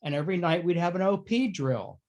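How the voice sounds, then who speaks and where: A man's voice: sits high, animated, a little fast. A man taking part in a casual panel conversation, talking informally.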